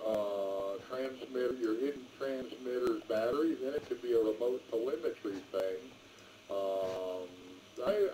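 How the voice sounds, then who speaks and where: A man's voice: medium pitch, mellow. An older man chatting casually as an amateur radio operator, with a rambling, folksy way of talking. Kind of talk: casual ham radio chat. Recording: noisy amateur radio transmission.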